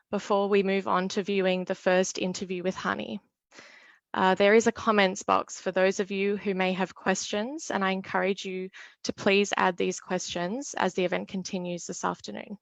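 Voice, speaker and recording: Creaky-voiced woman hosting an event. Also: mid-range voice, dry recording. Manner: formal, read with "um" and "uh" fillers. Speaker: event host, solicitor. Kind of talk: scripted event introduction.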